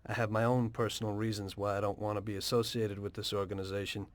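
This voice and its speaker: An actor playing a weary former taxi driver turned mob informant in a video game, with an American accent and a measured, matter-of-fact delivery. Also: male voice, fairly low pitch, little pitch movement, no echo, lightly acted. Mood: guarded. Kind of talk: video game dialogue and narration.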